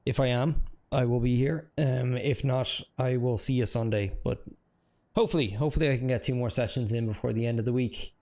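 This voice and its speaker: A man's voice: medium pitch, brisk. Chatty man streaming a race. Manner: relaxed, chatty, self-deprecating. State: feeling unwell. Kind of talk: casual live game commentary.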